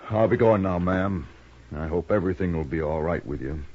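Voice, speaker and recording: An American man playing a plainspoken, gentle, sorrowful rancher in a 1950s radio western, speaking politely and humbly with a husky voice. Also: sits low, brisk, a little hiss or hum, close to an everyday voice. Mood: gentle, sympathetic. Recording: dull, muffled vintage radio recording.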